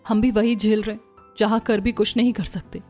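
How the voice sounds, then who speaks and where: A woman voicing a gentle, resigned young orphan sister in a cartoon, subdued and weary, on a muffled recording with music. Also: mid-range voice, little pitch movement, normal speed, voice pushed down, natural voice. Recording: muffled audio with music behind.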